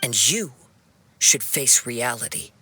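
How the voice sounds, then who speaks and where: A man voicing a calm, principled young wandering swordsman in a video game, with measured, earnest, formal delivery. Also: medium pitch, slight background noise, heavily acted. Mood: resolute. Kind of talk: dramatic video game dialogue. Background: music.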